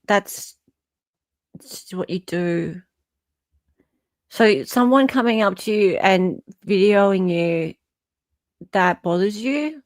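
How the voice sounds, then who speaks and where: Slow-talking Australian woman, blunt commentary. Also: medium pitch, darkish tone, dry recording. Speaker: host. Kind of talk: casual reaction commentary.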